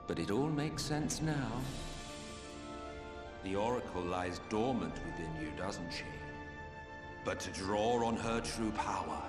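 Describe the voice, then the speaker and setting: A man playing an urbane, mocking immortal villain in a video game, sardonic and theatrical. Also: mid-range voice. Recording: reverberant hall, clear music behind. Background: music.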